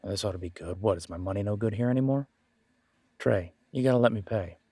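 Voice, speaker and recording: A man playing a loyal young male werewolf alpha in an audio roleplay, wry and protective, with a low-key, halting delivery. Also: warm tone, breathy edge, dry recording. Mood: wary, resigned.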